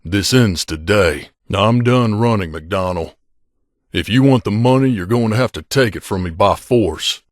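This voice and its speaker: Video game companion, male actor: devoted wasteland gunman, lowish voice, wry, familiar delivery, very dry close studio sound. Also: unhurried, no echo, close to an everyday voice. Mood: defiant. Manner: wry, casual, addresses boss familiarly. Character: loyal wasteland gunslinger companion man, wry, devoted to his mother.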